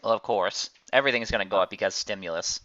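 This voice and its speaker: A man's voice: lowish voice, quick pace, pushed and bright. A man hosting a casual podcast over online voice chat with dry, teasing delivery and slightly dull sound. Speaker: podcast host.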